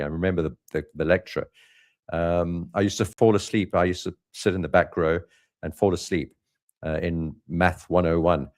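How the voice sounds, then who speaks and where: A man hosting a live trading update, talking loosely with many fillers and restarts about markets and his own past. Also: deep voice. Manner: relaxed, rambling with frequent fillers and restarts. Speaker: trading channel host.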